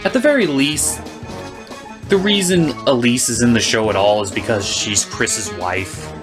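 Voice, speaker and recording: A man delivers a scripted comedy review with theatrical emphasis. His mid-pitched voice swings widely up and down as he rants, with clear music playing behind him. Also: lively intonation, measured pace. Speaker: host and reviewer.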